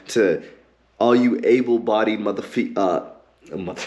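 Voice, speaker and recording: Profane ranting man with a stutter. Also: on the low side. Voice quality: occasional stutter. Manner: profane, heated, pauses with fillers. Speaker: podcast host. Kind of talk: ranting commentary.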